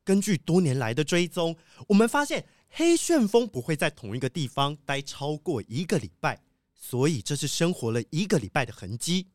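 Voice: medium pitch, big pitch swings, normal speed, no echo, studio quality, natural voice. An actor playing an earnest, dutiful wolfdog police sheriff in a children's audio drama, speaking in a formal reporting manner. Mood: businesslike. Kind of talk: children's radio drama acting.

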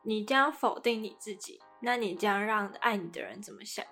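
A woman with a Taiwanese accent talks as a podcast co-host over background music. Her voice sits at a typical female pitch, and she explains her points conversationally, trailing sentences with soft particles. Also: fairly quick. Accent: Taiwanese Mandarin.

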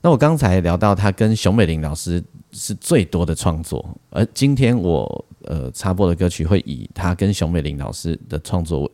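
A man's voice: low voice, expressive, moderate pace, hardly any pauses, mellow. A man with a Taiwanese Mandarin accent hosting a casual solo music show, talking in a rambling way with frequent particles and asides. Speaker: music podcast host.

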